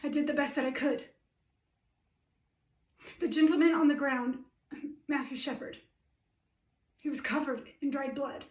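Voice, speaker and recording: A woman performing a theatre monologue as a shaken, earnest female police officer, plain-spoken and halting. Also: sits high, darkish tone. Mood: shaken, pleading. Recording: roomy echo, distant microphone.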